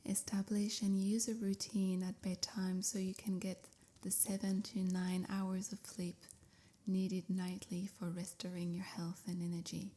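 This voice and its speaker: A woman softly guiding a sleep meditation in a breathy near-whisper, with soothing, measured phrasing. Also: medium pitch, level tone. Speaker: meditation guide. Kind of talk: guided sleep meditation, scripted.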